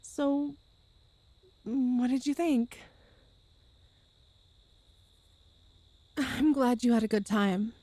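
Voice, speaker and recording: A woman's voice: on the high side, slow, no room sound. A woman playing an affectionate, playful girlfriend from a ghost family in an ASMR audio roleplay, chatty and tender. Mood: teasing, relieved. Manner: chatty and tender, with soft laughs and sighs.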